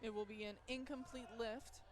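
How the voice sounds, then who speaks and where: A woman announcing a school powerlifting meet live, calling lifts in a halting way with pauses and fillers, her voice strained from yelling the day before. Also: on the high side, steady tone.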